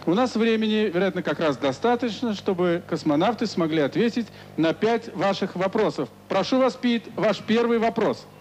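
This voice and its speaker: A middle-aged presenter speaks formally and evenly into a handheld mic in a large studio. His voice is fairly high, with faint hiss behind it. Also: expressive, a little mellow, low-quality recording. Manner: formal, measured. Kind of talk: broadcast moderation.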